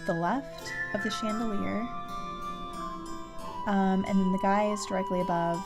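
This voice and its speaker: A woman playing a puzzle game and giving casual commentary, thinking aloud haltingly and trailing off as she works things out. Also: mid-range voice, fairly even intonation, over music. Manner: halting, trailing off while working through puzzles.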